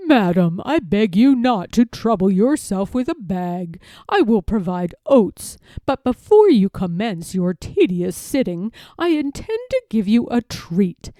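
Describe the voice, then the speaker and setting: A woman voicing a suave, sly gentleman fox in a children's storybook, formal and smooth-talking with a bossy streak. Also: medium pitch, sing-song, unhurried, no room sound. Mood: courteous, scheming.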